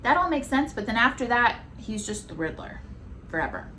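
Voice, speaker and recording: Casual female co-host, thirties, American, expressive with playful exaggerated quotes, faint room noise behind. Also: on the high side, fairly quick. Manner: animated, acting out quotes in exaggerated voices. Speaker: co-host of a TV discussion show. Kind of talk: casual chat. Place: living room, on a couch at home.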